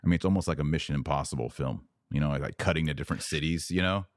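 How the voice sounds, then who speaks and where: A man's voice: a bass voice, fast talker, no room sound, studio quality. A man talking casually as the host of a podcast.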